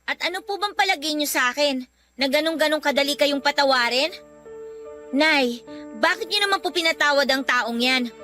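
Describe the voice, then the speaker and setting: A woman's voice: high-pitched, normal speed, pushed and bright, faint music behind. A woman playing a hurt, defiant daughter in a radio drama, indignant and confrontational. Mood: indignant, bitter. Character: hurt, defiant daughter rejecting her returning father. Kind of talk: Tagalog radio drama acting.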